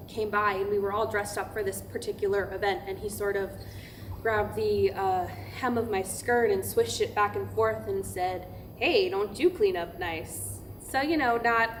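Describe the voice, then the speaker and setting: A woman's voice: mid-range voice, close to an everyday voice. A woman playing a weary, candid female pastor in a verbatim theatre monologue, speaking in a hesitant, conversational way. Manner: hesitant, filler-laden, candid. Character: female pastor recounting seminary harassment, weary, candid, incredulous. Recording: noisy room recording of staged reading.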